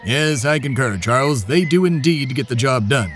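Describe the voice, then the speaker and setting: A man's voice: medium pitch, lively intonation, normal speed, fairly bright tone, natural voice. A man playing a pompous, shameless, self-important podcast host in a comedic audio drama, with grandiose, formal diction. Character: pompous, shameless, self-important male talk-show adventurer, embodiment of America.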